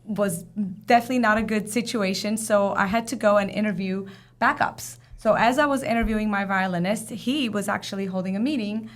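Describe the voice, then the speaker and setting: A woman from Armenia being interviewed as a guest, telling her story in an expressive way. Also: medium pitch, fairly quick. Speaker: guest, entrepreneur. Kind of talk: casual interview talk.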